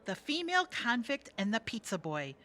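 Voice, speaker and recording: Comedy cellmate role: sardonic woman jailbird, female actor, forceful bright tone, lively inflection, blunt and needling. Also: fairly high pitch, moderate pace. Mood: wry, blunt. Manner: wry, mock-sympathetic, blunt. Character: brash, sarcastic, worldly female jailbird needling her cellmates. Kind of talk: audio theatre comedy acting.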